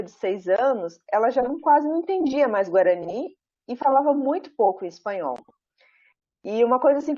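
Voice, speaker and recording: A Brazilian woman professor giving an academic talk over a video call, speaking in a measured, thoughtful way with frequent pauses and tag questions. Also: fairly high pitch. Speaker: professor presenting her research. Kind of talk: academic talk at an online book launch. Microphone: video call, camera off. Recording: muffled video call audio.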